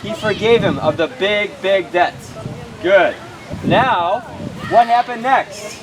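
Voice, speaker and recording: A man's voice: high-pitched, takes it slowly. Guy pastor hosting kids outside, asks little questions, repeats stuff back, super noisy recording w traffic. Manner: repeats answers and checks with short questions. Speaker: pastor and emcee of a children's puppet show. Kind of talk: animated question-and-answer with children. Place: outdoors at an open-air show.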